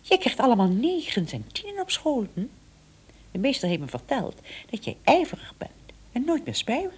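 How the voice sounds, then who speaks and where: A woman playing a stern but kindly good fairy, breathy and theatrical, in a children's audio story. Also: a little high, wildly swooping pitch, moderate pace, heavily acted. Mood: approving, promising. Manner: theatrical, admonishing.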